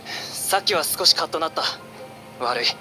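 A man's voice: on the high side, animated, normal speed, pushed and bright, soft background music. An actor playing an exasperated, resentful young male adventurer in an anime game, complaining dramatically. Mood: resigned, bitter. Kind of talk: Japanese anime game voice acting.

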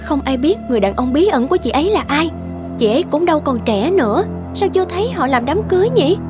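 A woman playing an anxious, hesitant young woman in love in an animated drama. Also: high-pitched, fast. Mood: curious, musing. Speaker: voice actor in an animated moral tale. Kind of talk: dubbed cartoon dialogue. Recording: music behind the voice.

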